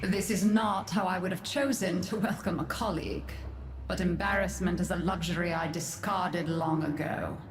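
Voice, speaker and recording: A woman's voice: on the low side, background noise, lightly acted. A woman playing a stern, proud, commanding matriarch scientist in a video game, speaking in a formal, clipped manner. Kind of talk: video game character acting. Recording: echoey processed space, loud music behind.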